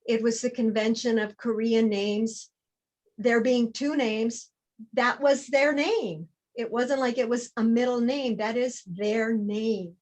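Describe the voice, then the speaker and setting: A woman speaks as a guest in a panel discussion, her voice a little higher than average. She explains things in a measured, reflective way. Key words get deliberate emphasis. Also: steady tone, darkish tone.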